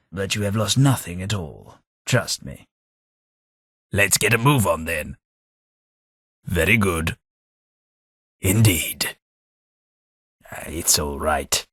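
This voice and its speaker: An actor playing a formal, polite, long-lived London gentleman in a game, speaking with measured diction. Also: on the low side, husky, no echo, studio quality, full character voice. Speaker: game companion. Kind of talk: game voice acting.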